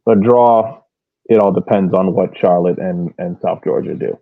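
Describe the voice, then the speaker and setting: A man's voice: deep voice, moderate pace, vocal fry, no echo. A man co-hosting a sports podcast in casual chat, often repeating words as he restarts phrases. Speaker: soccer podcast co-host. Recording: tinny phone-like line, dry.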